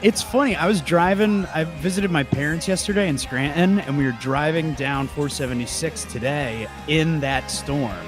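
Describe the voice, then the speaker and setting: A man's voice: fairly high pitch, normal speed, hardly any pauses, over music. A man from Pennsylvania, speaking casually as an interview guest and band frontman. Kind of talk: casual chat.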